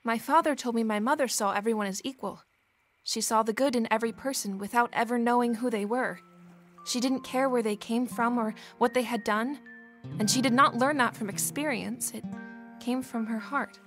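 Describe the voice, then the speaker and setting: A woman's voice: on the high side, moderate pace, faint music behind, lightly acted. An actress playing a proud, earnest, headstrong young woman in a period audio drama, with formal diction.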